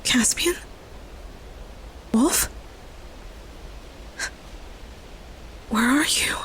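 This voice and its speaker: A woman playing an earnest, tender-hearted young witch in a fantasy audio story, speaking softly and hesitantly with a breathy delivery. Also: high voice, slow, in a whisper. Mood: desperate, tender.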